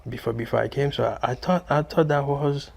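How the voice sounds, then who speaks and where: Man, creaky gravelly voice, searches for words as a guest. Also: medium pitch, a little fast. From Haiti. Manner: hesitant, heavy with fillers. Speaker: interview guest, adult English student.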